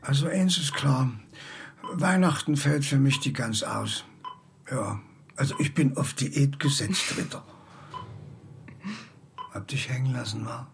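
A man's voice: medium pitch, measured pace, creaky, pauses often, slight background noise. An actor playing a chummy, self-pitying hospitalized policeman in a radio drama, folksy and teasing. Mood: plaintive, teasing. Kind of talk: German radio drama acting.

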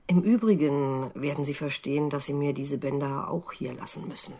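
A deep, slightly breathy-rough magistrate in a radio crime drama. She questions with precise, cool insistence and open scepticism. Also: fairly even intonation, moderate pace, warm tone. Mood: sceptical, probing. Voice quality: low, husky. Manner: precise, insistent, coolly interrogating. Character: probing, sceptical female examining magistrate. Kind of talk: naturalistic German radio crime drama acting.

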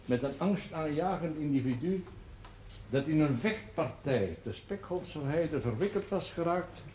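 A senior male cleric of refined, secluded habits in a period television drama. He talks deliberately and formally, with dry irony. The vintage broadcast sound carries a light noise. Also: on the high side. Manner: measured, deliberate, ironic, with formal phrasing. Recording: noisy old 1970s television soundtrack.

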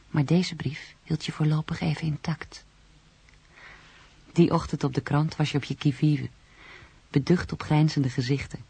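A woman playing a hushed, watchful storyteller in a radio drama, narrating with a breathy, half-whispered voice. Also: low-pitched, heavily acted. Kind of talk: scripted second-person radio drama narration.

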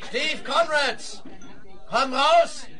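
An actor playing a commanding sheriff in a Western radio play, speaking emphatically on an old vinyl recording. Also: male voice, very high voice, extremely slow, bright, forceful tone, exaggerated voice. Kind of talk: 1970s German radio play acting.

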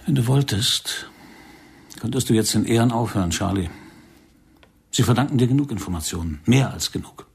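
An actor in a radio drama playing a controlled, brooding secret-service handler who speaks in a measured, grave way. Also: male voice, mid-range voice, vocal fry, slightly rough. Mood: grave, cautioning.

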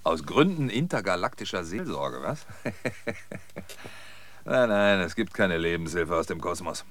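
An older actor playing a weary, mocking old fraud in a radio drama, halting and given to derisive laughter. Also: male voice, sits low, sing-song, fairly bright tone. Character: bitter, mocking old man, weary self-confessed fraud. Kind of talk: German radio drama acting.

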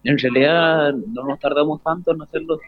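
A man's voice: a little high, steady tone, moderate pace, dry recording. A young man, a student, giving brief informal answers in an interview. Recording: thin phone-like, band-limited live sound.

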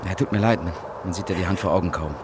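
An earnest reporter played by a man in a radio play, his voice fairly low. He questions hesitantly but insistently, with clear background noise around him. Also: racing, natural voice. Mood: shaken. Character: earnest, persistent male newspaper reporter, a war veteran. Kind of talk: naturalistic German radio drama acting.

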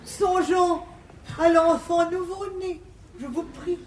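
A woman's voice: extremely high pitch, steady tone, slow pace. An actress playing an affected, swooning lady besotted with poetry in a classical French stage comedy, declaiming verse theatrically. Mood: pedantic scolding. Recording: echoey theatre stage, noisy 1950s recording.

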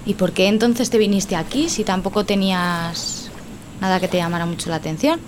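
A woman hosting a travel show, asking relaxed, friendly questions. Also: mid-range voice, fairly quick. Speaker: show host. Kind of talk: casual chat. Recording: noisy field recording.

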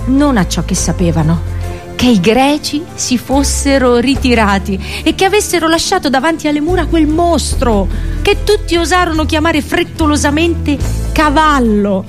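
An anguished princess prophetess of a fallen kingdom, speaking over music. Her delivery is intense and theatrical, in short, deliberate phrases, as in a radio play of ancient tragedy. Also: high-pitched, animated. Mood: urgent, bitter. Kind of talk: dramatic radio play monologue.

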